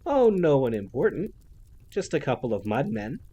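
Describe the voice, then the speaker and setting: A snide, childlike female villain gloats in a lilting, mocking voice pitched well above normal speech, as a male narrator puts her on. She taunts theatrically, savouring every word. A faint hiss sits under the audiobook recording. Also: big pitch swings, moderate pace, warm tone, highs cut off, lightly acted. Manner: mocking, sing-song, theatrical.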